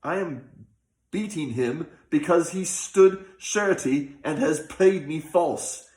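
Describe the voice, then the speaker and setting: A man voicing a grasping, quick-tempered rich man in a folk tale, scolding in archaic speech. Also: a little high, a little mellow, pitched above the performer's own voice. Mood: angry, scolding. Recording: roomy, echoing sound.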